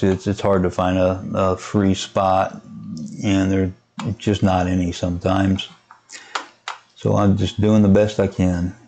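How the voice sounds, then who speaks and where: A man giving a casual, unscripted software tutorial, hesitant and thinking aloud as he goes. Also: fairly low pitch. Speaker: amateur radio hobbyist giving a software tutorial. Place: indoors at a computer, voice-over of screen recording.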